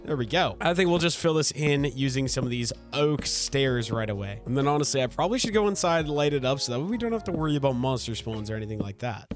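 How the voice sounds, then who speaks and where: Low-key man giving casual gameplay commentary with a cold. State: has a cold. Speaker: gaming video creator.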